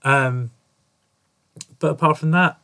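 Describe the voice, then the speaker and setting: A man's voice: fairly high pitch, slow, sharp tone, clear, resonant tone. A young man with a nasal, bunged-up voice from a cold, chatting casually and swearing freely as he opens his podcast. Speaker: podcast host. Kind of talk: casual podcast intro monologue.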